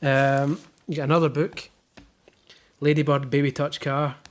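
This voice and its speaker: A man's voice: fairly high pitch, level tone, measured pace, a little hiss or hum. A young Scottish man chatting casually at home as he unboxes, with dry jokey asides. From Scotland. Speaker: vlogger unboxing a baby box. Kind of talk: casual chat. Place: living room at home.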